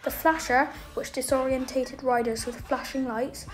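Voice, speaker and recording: A woman narrates a scripted documentary. Her voice sits fairly high, and soft music plays behind her as she reads. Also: steady tone, moderate pace, rough recording.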